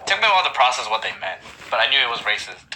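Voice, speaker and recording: A man from Canada telling stories in a relaxed group chat, laughing easily. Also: medium pitch, very fast, heavy background noise. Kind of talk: casual storytelling chat.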